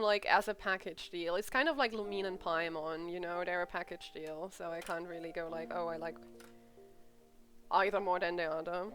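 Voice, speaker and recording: Casting: female game streamer, mid-pitched voice, informal and blunt joking commentary, faint music behind. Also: a bit bright. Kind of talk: casual playthrough commentary.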